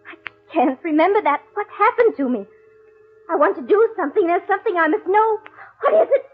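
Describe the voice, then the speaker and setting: An actress playing a bewildered, anguished woman robbed of her memory, halting and melodramatic, in an old-time radio horror drama. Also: very high-pitched, animated. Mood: confused, anxious. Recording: muffled scratchy old radio broadcast, faint music.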